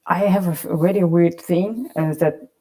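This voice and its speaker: Woman, low mellow voice, halting and thoughtful, panel speaker. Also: poor audio. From Russia. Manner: thoughtful, searching for words, frequent restarts. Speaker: panelist, poet. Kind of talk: panel discussion.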